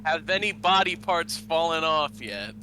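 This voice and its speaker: A man's voice: fairly high pitch, animated, measured pace. A man on voice chat tossing out dry, teasing jabs in casual talk. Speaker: gaming friend on voice chat. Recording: noisy voice chat audio.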